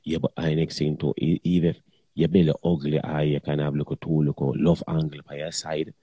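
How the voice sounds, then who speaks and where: A man's voice: rumbling low, level tone, fairly quick, dark tone, breathy edge, dry recording. A middle-aged man, talking bluntly and crudely in patois in a casual chat.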